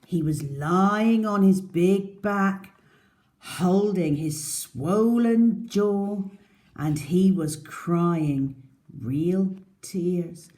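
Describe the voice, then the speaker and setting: A woman reading a storybook aloud to young children as a teacher, in a deliberate, expressive manner. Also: on the low side.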